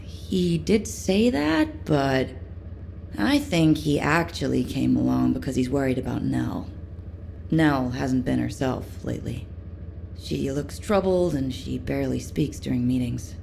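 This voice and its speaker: An actress playing a chatty, eager young military engineer in a game, informal, rambling and expressive. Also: female voice, a bass voice, measured pace, vocal fry, a little hiss or hum, full character voice. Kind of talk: game character dialogue.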